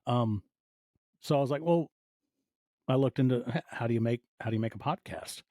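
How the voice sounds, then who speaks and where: A man in his forties with a soft, mellow voice talks as a guest on a podcast. He tells stories in a casual, conversational way, briefly acting out what people said. The sound is very dry, with no room around it. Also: medium pitch, normal speed, no room sound. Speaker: podcast guest, audio fiction producer. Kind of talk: casual interview storytelling.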